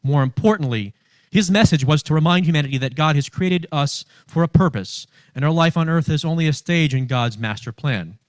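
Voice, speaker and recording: A man giving a sermon as a minister, reading from notes in measured phrases with emphasis on key words. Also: a little high, no room sound. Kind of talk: sermon read from notes, with long quotations.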